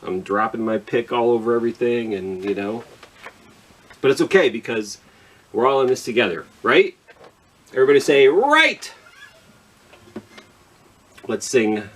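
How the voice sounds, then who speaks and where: A man's voice: medium pitch, moderate pace, pauses often. Chatty man hosting children's storytime. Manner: informal, self-deprecating, rambling asides. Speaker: children's library storytime host. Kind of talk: casual, chatty talk to young children.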